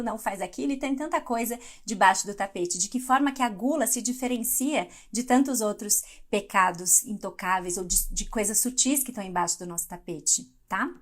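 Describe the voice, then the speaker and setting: A woman's voice: medium pitch, normal speed, hardly any pauses. A middle-aged woman from Brazil giving a conversational live devotional talk, reacting to viewer comments as she goes. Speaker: devotional speaker.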